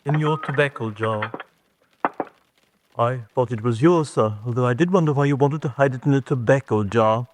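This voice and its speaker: A man's voice: medium pitch, moderate pace, slight background noise, natural voice. A man playing a loyal, nervous manservant in a radio drama, deferential and colloquial in his talk. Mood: deferential, uneasy.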